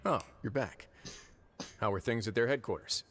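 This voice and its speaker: A man voicing a shrewd, composed duke in a video game, speaking in a calm, articulate, deliberate and slightly wry manner. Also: lowish voice, no room sound, natural voice. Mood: measured, suspicious. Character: composed adult male duke, shrewd, measured authority. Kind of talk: English video game voice acting. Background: faint game music.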